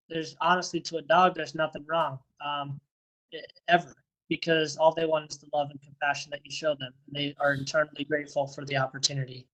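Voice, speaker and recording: A man's voice: fairly high pitch, fairly bright tone, low-quality recording. A man answering interview questions casually, friendly and rambling, repeating and restarting his words, talking over a video call. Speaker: interviewee, first responder and dog handler.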